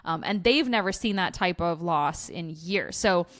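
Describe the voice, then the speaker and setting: A woman's voice: on the low side, expressive, measured pace, a bit bright, dry recording, clean studio sound. A woman from eastern Ukraine, a recruiting agency CEO, speaking candidly as a podcast guest and laughing often. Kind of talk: podcast interview.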